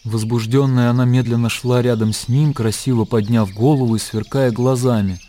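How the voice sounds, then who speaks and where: Mellow-voiced male narrator. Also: mid-range voice, fairly even intonation, normal speed, a little hiss or hum. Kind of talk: scripted literary narration in a radio play. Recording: faint birdsong and outdoor ambience.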